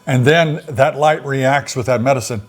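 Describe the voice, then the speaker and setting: An older man co-hosting a casual chat show from home, folksy and chatty, explaining things at an easy, unhurried pace. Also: medium pitch. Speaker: co-host of a retiree channel.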